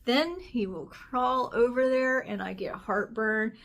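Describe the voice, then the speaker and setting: A woman in her forties speaks in a somewhat high voice with a light rasp. She talks like a vlogger catching up with viewers, casual and candid, telling things in an animated way. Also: slow pace. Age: 40s. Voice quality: slightly raspy. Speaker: health vlogger. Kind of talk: casual chatty personal update.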